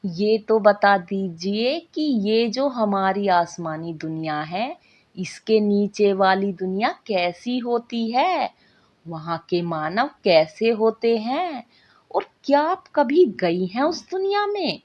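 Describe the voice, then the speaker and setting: A woman's voice: mid-range voice, lively intonation, slow, darkish tone. Woman voicing an impatient, pouting young girl. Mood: curious, complaining. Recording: dull lo-fi recording.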